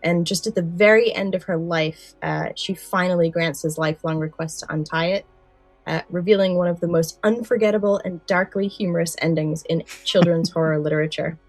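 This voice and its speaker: A woman's voice: on the low side, steady tone, brisk, no echo, soft background music, rough recording. A woman co-hosting a podcast, speaking conversationally about things she has chosen to share. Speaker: podcast co-host. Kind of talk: casual podcast chat with some prepared narration.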